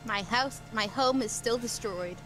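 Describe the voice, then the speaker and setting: A woman's voice: on the high side, a little slow, low-quality recording. A woman with a morbidly playful manner, casually joking and dubbing a video game with friends. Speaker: player voicing children and side characters in a group game dub. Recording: loud game music behind the voice. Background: loud video game music.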